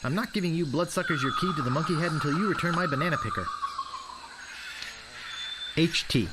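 Man acting a lively, eager young pirate over ambience. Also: on the high side, a little fast, lightly acted. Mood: wry, puzzled. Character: young eager wannabe pirate hero, male, naive and wry. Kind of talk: video game voice acting. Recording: clear background ambience noise.

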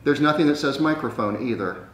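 A man's voice: medium pitch, lively intonation, fairly quick. An older man co-hosting a casual gadget test at home, talking with dry, grumbling sarcasm. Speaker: vlog co-host. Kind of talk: casual chat while testing a gadget. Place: dining room at home. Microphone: camera microphone across the room. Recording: echoey room, distant mic, faint noise.

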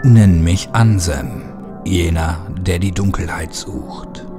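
A man voicing a menacing, scornful dark entity in a horror story, speaking in a slow, ominous, imperious way. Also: low-pitched, over music, pitched below the performer's own voice. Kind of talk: horror audiobook character voice.

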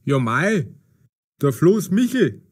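An actor playing boisterous Bavarian village men with a husky voice and Bavarian accent, in an audio story. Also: male voice, fairly high pitch, big pitch swings, takes it slowly, full character voice. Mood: easygoing. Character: boisterous Bavarian men: angler, card player, innkeeper. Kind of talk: German learner audio drama acting.

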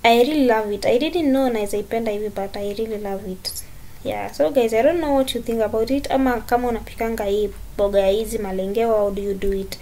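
A young woman with a Kenyan accent talks casually, as if to her regular vlog viewers. Her voice sits fairly high yet has a very mellow, soft-edged tone. She speaks in an informal, conversational way. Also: fast. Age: young adult. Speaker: vlogger. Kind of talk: casual vlog chat, mixing English and Swahili.

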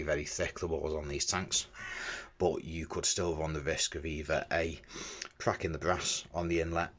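A man's voice: sits low, fairly bright tone, husky. A middle-aged man giving a casual hands-on how-to talk as a tradesman, recorded on a handheld phone in a small enclosed work space. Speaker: window cleaner demonstrating a fitting. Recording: dull phone recording with background noise.

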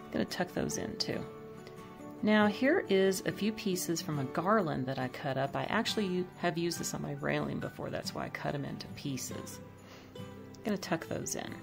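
A woman's voice: on the low side, vocal fry. A woman chatting casually as she decorates her home kitchen, thinking aloud about each piece. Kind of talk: casual voice-over demo.

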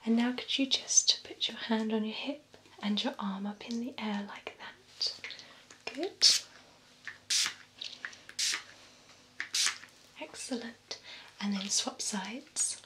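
A young woman playing a poised, courteous female vampire spa beautician in a breathy, soft ASMR whisper. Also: fairly high pitch, low-quality recording. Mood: soothing, professional. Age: young adult. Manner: slow, soothing, formal, attentive. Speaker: ASMR performer. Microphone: close binaural microphone. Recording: close ASMR microphone with background hiss.

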